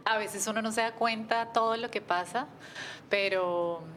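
A woman's voice: on the high side, fairly even intonation, a little slow, soft background music. Enthusiastic woman hosting a faith chat. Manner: warm, enthusiastic, prays fervently. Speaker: host. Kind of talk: casual interview with prayer.

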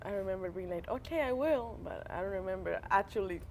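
A woman guest telling a childhood anecdote in a casual, animated way. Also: mid-range voice, fast, slight background noise. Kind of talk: casual storytelling.

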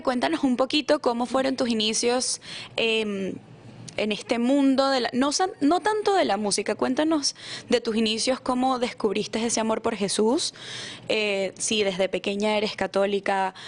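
Gentle woman hosting a faith podcast. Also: on the high side, normal speed. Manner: gentle, affirming with frequent amens. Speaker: podcast host. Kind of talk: Bible reading and interview hosting.

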